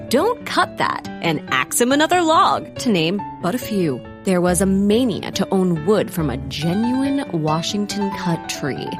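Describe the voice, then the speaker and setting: A woman's voice: medium pitch, close to an everyday voice. A woman playing a wry storyteller, narrating a comic audio drama in mock-documentary style.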